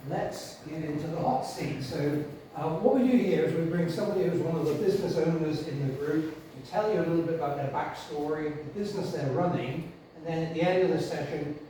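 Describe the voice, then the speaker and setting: A man hosting a business networking meeting, talking in a relaxed way with many uhs and ums. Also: fairly high pitch, a little fast, few pauses. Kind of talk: casual event hosting. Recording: echoey room, from a distant mic, faint noise.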